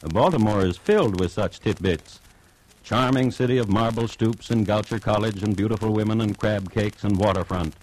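An actor playing a droll, urbane crime-story host, narrating an old-time radio drama in a dry, wry manner. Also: male voice, on the low side, normal speed, darkish tone, lightly acted, rough recording.

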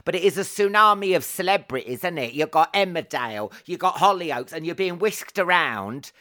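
A man hosting a podcast, telling stories in a camp, animated way with frequent laughs and swearing. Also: fairly high pitch, moderate pace. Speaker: podcast host. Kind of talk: casual chat.

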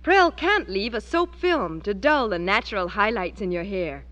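A woman's voice: mid-range voice, lively intonation, normal speed, a bit bright, highs cut off. A woman reading a scripted 1940s radio advertisement as a spokeswoman, with polished sales delivery. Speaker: commercial spokeswoman.

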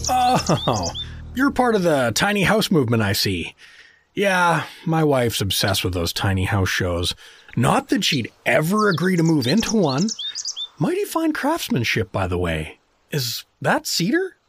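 A man's voice: fairly high pitch, wildly swooping pitch, a little slow, a bit bright, a little hiss or hum, heavily acted. A man playing a chatty, oblivious middle-aged American dad who makes affable, corny small talk in an audio fantasy comedy. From rural South Dakota.

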